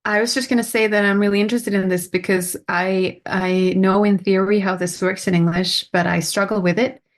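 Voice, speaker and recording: A woman's voice: mid-range voice, steady tone, a little fast. A woman linguist co-hosting a podcast, chatting in a wry, self-mocking way. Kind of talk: casual chat.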